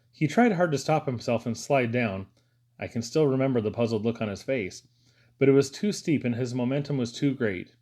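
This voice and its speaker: A man's voice: mid-range voice, darkish tone. A man reading a first-person story aloud as an audiobook narrator. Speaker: audiobook reader.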